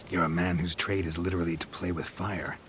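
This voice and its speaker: An actor playing a gruff monster hunter who speaks in a dry, clipped, oddly genteel way. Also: male voice, low voice, brisk. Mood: scornful. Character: gruff male monster hunter, stiff and posh. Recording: game audio with steady hiss.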